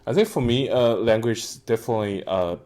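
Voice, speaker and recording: A man's voice: mid-range voice, a little fast, a little mellow. A young man with a Mandarin Chinese accent, co-hosting a casual podcast chat, earnest and hunting for the right English words. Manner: earnest, searching for words, many fillers. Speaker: podcast co-host.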